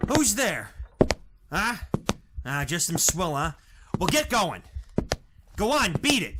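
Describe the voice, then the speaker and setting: Guy as tough old-time mob boss, gruff slang, swings up and down, loud noise behind. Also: sits high, sing-song, slow pace, exaggerated voice. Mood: gruff bullying. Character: tough bullying 1930s gangster boss. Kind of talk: 1930s radio acting.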